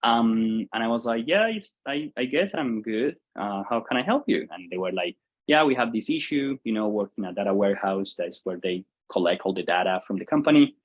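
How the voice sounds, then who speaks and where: Colombian-accented man in his thirties, Spanish native, lowish voice, halting and filler-heavy interview guest. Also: lively intonation, muffled recording. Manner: hesitant, many fillers and restarts. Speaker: guest, software entrepreneur. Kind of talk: casual interview answer.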